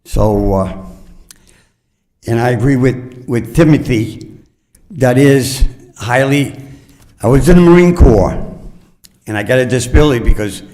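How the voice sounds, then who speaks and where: A man's voice: medium pitch, darkish tone. Older man, plainspoken and earnest. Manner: plainspoken and earnest, a bit rambling. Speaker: resident giving public comment. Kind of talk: unscripted public comment. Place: city council chambers.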